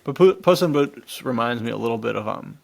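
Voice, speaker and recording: A man with an average-pitched voice and heavy vocal fry. He co-hosts a podcast in a loose, excitable way. His talk is informal and full of buddy slang. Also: brisk. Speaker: podcast co-host. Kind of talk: casual enthusiastic chat about adventure stories.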